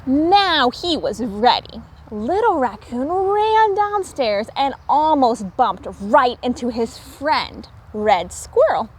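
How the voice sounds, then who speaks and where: A woman reading a picture book aloud to young children, with animated, emphatic and deliberate phrasing. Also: sits high, slight background noise. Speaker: children's story reader.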